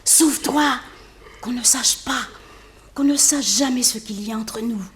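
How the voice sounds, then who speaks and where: Passionate murderess, high female voice, forceful, theatrical French radio drama, noisy echoey recording. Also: measured pace. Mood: tender, hushed. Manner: theatrical, intense. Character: vengeful murderess posing as a meek servant, passionate.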